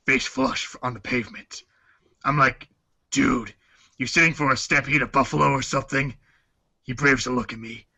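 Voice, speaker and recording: Man playing a sharp, husky sarcastic teen boy. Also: medium pitch, heavily acted. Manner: deadpan slangy sarcasm. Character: cocky, sarcastic foul-mouthed teenage boy. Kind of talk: read-along storybook fan dub.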